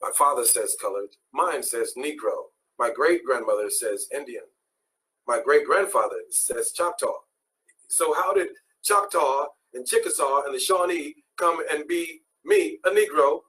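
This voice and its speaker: A man's voice: fairly high pitch, animated, measured pace, bright, forceful tone, slight background noise, rough recording. A man who is a guest on a talk show, explaining his views in an assertive, lecturing way.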